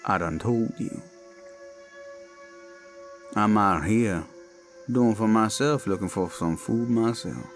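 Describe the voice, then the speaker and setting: A menacing Cajun werewolf of the bayou, played by a man in a horror audio drama, with a slow, taunting drawl and a Cajun accent. His voice sits low, and the words come at an unhurried crawl. Faint eerie music and crickets play behind him.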